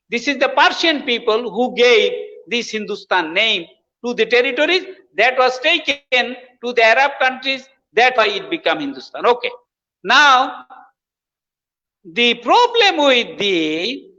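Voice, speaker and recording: A man's voice: extremely high pitch, sharp tone. A man from Bangladesh, explaining his views as a guest professor and repeating phrases for emphasis. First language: Bengali. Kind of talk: explanatory interview talk. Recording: dull lo-fi audio with long reverb.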